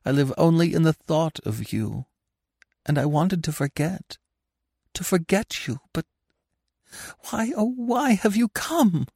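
A distraught young woman, high and breathless, pleading in desperation, as a male reader puts her on. The voice swings widely as she begs, and the recording is dry and close. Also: expressive, slow, creaky, long pauses, darkish tone, husky, dry recording, full character voice. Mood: anguished. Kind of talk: audiobook reading of a classic novel.

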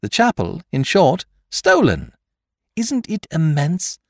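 A man reading aloud and voicing an excitable young male amateur detective who shouts with wonder and admiration. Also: a little high, a little slow, dry recording, clean studio sound, pitched above the performer's own voice, exaggerated voice. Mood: excited. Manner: feverish, exclamatory, admiring.

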